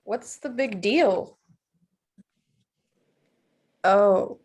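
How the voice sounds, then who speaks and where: A woman's voice: mid-range voice, drawn-out words, darkish tone. A woman reading the part of a cautious teenage girl who resists her friend's scheme, at a table read. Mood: wary. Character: wary, reluctant teenage girl.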